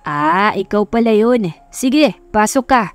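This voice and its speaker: A woman's voice: mid-range voice, expressive, unhurried, dry recording, lightly acted. A woman voicing an informal, accommodating male mansion guard in an audiobook story. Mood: casual, helpful. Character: casual, helpful male mansion security guard. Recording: music under the voice.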